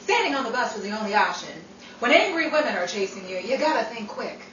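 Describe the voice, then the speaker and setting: A woman playing a breezy, quick-witted, playful radio actress who teases her friend in a 1940s-style radio comedy. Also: a little high, sing-song, a bit bright, far from the mic, dull audio. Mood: playfully dramatic. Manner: playful, theatrical. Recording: noisy, echoey room, off the mic.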